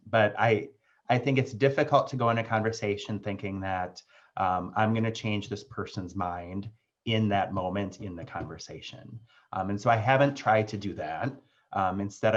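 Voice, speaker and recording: 40s guy, lowish voice, careful and measured, lots of ums, dull call audio. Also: a bit bright. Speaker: alumni panelist. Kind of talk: reflective panel answer. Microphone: video call.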